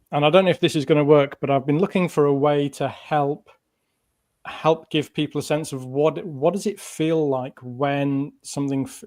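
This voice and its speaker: A male guest thoughtfully explaining an idea, speaking haltingly and guiding listeners through a small exercise. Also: mid-range voice, darkish tone. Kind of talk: casual explanation.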